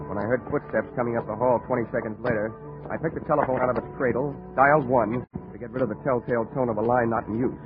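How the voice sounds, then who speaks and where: An American actor playing a tough, wisecracking insurance investigator in a 1940s radio drama, glib and deadpan. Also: male voice, mid-range voice, quick pace, narrow, tinny audio, over music, lightly acted. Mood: dry narrating. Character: wry, tough male insurance investigator detective. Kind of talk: 1940s radio detective acting. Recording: scratchy 1940s radio recording, thin band.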